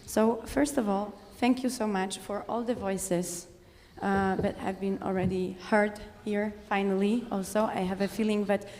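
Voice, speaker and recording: A woman from the audience, speaking English as a philosopher at a conference, direct and insistent, often pausing with fillers. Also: mid-range voice, fairly even intonation, a little mellow, long reverb tail, a little hiss or hum. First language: Polish. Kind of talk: conference floor remarks. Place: conference hall.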